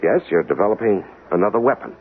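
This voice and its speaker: A man's voice: on the low side, animated, quick pace, close to an everyday voice. A male actor playing a rigid, by-the-book government investigator in a 1970s radio drama, insistent, officious and argumentative. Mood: demanding. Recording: tinny, noisy old radio recording.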